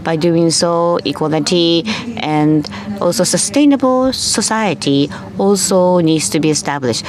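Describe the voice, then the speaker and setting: A woman with a slightly low voice moderates a formal panel and then interprets for a speaker. She talks haltingly, with frequent fillers and restarts. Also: darkish tone. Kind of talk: conference moderation and live interpretation. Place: conference hall.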